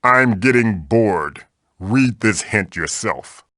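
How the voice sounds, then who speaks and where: A man's voice: lowish voice, expressive, slow, fairly bright tone, dry recording, clean studio sound. A man voicing an old magical mask, a bossy, wisecracking mentor guiding the player in a video game with theatrical, wry delivery. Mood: wry, bored.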